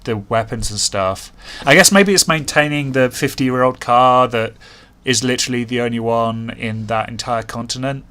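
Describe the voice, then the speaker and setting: A man's voice: medium pitch, moderate pace, bright, forceful tone. A man co-hosting a casual comedic podcast, making dry, wry quips and laughing often. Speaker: podcast co-host.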